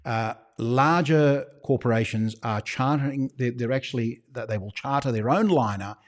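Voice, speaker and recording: An Australian man hosting a loose livestream Q&A for his shop. His voice sits in an ordinary middle pitch, and he wanders from point to point with conspiratorial little jokes. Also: moderate pace. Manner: chatty, rambling, with conspiratorial joking asides. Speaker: shop owner hosting a live Q&A. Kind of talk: casual livestream Q&A.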